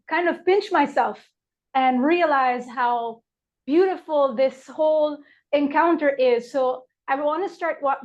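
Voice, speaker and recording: A woman from Morocco, an herbalist, giving a heartfelt live online workshop with frequent fillers from her apothecary over a video call. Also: sits high. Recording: dull lo-fi video call audio.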